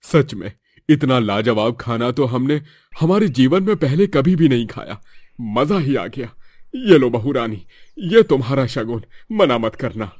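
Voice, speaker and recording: An older family patriarch, acted by a man in a moral story. He has firm, declamatory delivery at a medium pitch, with almost no room sound. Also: lively intonation, a little slow, a little mellow, no echo, clean studio sound. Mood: delighted. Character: authoritative older father-in-law of a wealthy household.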